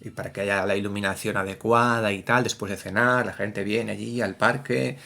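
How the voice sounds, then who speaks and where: A man, co-hosting a casual culture podcast as a theatre director, animated and playful, exclaiming often. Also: lowish voice, normal speed, creaky. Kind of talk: casual chat.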